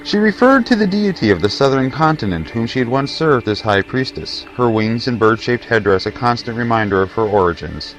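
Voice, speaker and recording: A man's voice: medium pitch, expressive, fairly quick, few pauses, low-quality recording. A man narrating a scripted fantasy tale as the storyteller of an audio drama. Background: faint music.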